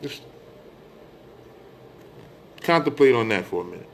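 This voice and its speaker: A man's voice: medium pitch, expressive, a little slow, creaky. A middle-aged American man giving an informal religious monologue, speaking emphatically, repeating phrases and posing rhetorical questions. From United States. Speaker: religious speaker addressing online followers.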